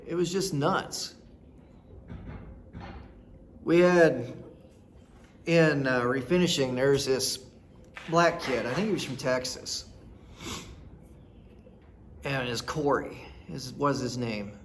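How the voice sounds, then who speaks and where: A man's voice: mid-range voice, slow, pauses often, slight background noise. A man in his thirties from rural Nebraska, telling personal stories in a blunt, folksy, rambling way. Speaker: storyteller host. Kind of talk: casual personal storytelling.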